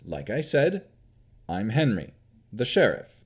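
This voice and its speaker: A man's voice: mid-range voice, huge pitch swings, slow, husky, full character voice. A man voicing an earnest, patient new sheriff who wields divine magic.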